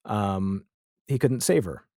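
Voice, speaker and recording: A man speaking as a podcast guest in a casual, reflective conversation, with halting, thoughtful phrasing. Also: fairly low pitch, expressive, warm tone, no room sound, clean studio sound.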